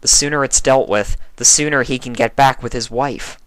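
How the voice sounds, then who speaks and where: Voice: medium pitch, fairly bright tone, no echo, voice pushed up, natural voice. A performer as an earnest, take-charge female pony. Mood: instructing. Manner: measured, explanatory, instructing.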